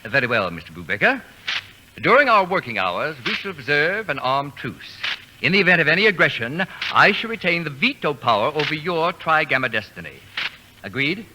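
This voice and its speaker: An actor playing a pompous, fastidious man who orders students around in formal, precise diction, in 1940s radio comedy style. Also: a little high, very animated. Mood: imperious. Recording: noisy vintage radio broadcast with clicks.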